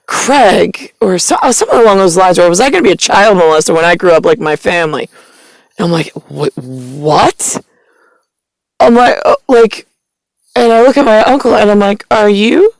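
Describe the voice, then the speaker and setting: A woman's voice: medium pitch, creaky, no room sound, low-quality recording. A woman telling a personal family story in a rambling, disjointed way, swearing often and stumbling through fillers and self-corrections. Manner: disjointed, profane, agitated retelling.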